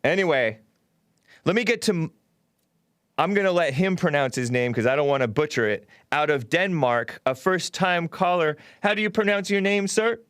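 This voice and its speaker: A man's voice: a little high, moderate pace, fairly bright tone, smooth, no room sound, clean studio sound. A man hosting a call-in talk show, talking casually and laughing at his own remarks.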